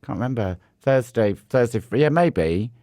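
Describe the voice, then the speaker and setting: A man, a drag queen co-hosting a podcast, with a croaky, hoarse voice, chatting in giggly, playful banter. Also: mid-range voice, animated, normal speed, dark tone, no echo, clean studio sound. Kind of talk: casual banter.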